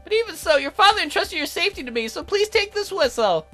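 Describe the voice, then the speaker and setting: A woman's voice: very high-pitched, very animated, fast, pushed and bright, soft background music, voice pushed up, heavily acted. A woman voicing a fussy, devoted magical attendant in a visual novel, prim and snippy but deferential to a lady. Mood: earnest, worried.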